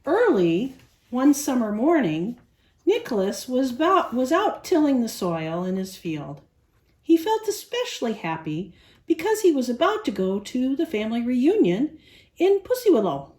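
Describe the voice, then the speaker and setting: A woman storyteller reading a picture book aloud to young children in an expressive style. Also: high voice, a little slow.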